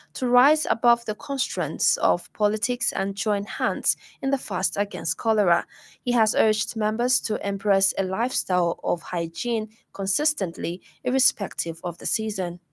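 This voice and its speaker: A woman's voice: mid-range voice, normal speed, no echo. A woman with a Zambian accent reading a scripted radio news bulletin in a formal manner. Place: radio studio.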